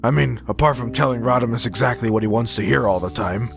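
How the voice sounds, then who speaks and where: A man's voice: mid-range voice, fast, gravelly, close to an everyday voice. A man playing a grumpy, sarcastic robot ship's doctor with a dry delivery in an audio drama. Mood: grumbling, sarcastic. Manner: dry, sardonic. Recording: music under the voice.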